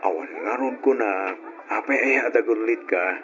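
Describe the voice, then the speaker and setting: A man's voice: on the low side, moderate pace, soft background music, lightly acted. A young man with an Ilocano accent playing an evasive, preoccupied boyfriend in an old radio drama. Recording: noisy, dull lo-fi radio recording.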